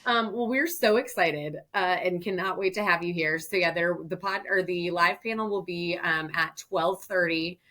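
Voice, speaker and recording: A woman's voice: on the low side, moderate pace, hardly any pauses, pushed and bright. Upbeat talkative woman co-host. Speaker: podcast co-host. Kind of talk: casual chat.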